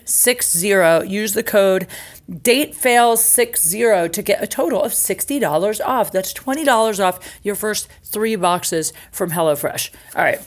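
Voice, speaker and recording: Chatty, self-mocking woman podcast host. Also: mid-range voice. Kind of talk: casual storytelling monologue with ad reads.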